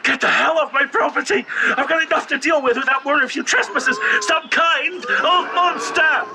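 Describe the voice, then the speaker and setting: An actor playing a panicked, foul-mouthed landowner with a gun, frantic and aggressive, in an audio drama. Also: male voice, very high voice, moderate pace. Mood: angry alarm. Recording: noisy outdoor sound scene.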